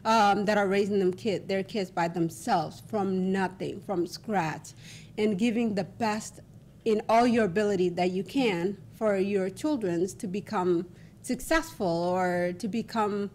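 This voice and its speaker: A woman hosting a talk show in an informal, encouraging, conversational way over a rumbly background. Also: a little slow, a little mellow, a little hiss or hum.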